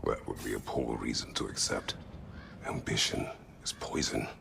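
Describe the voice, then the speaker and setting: A man's voice: very deep voice, normal speed, vocal fry, noisy background, full character voice. An actor playing a stern, battle-worn warrior in a video game, terse and solemn in his formal speech. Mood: grave, resolute. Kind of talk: video game dramatic acting.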